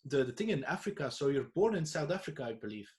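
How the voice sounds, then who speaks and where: A man from Belgium with a Flemish accent, a podcast host speaking in halting English with frequent restarts and questioning tags. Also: on the high side, sing-song, a little mellow. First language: Dutch. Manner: hesitant, halting, tag "eh?" fillers. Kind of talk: conversational interview.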